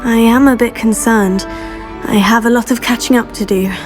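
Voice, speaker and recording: A woman playing a polite, earnest teenage girl, a new student in a video game, measured in manner. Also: on the high side, fairly even intonation, music in the background, natural voice. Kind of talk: video game character dialogue.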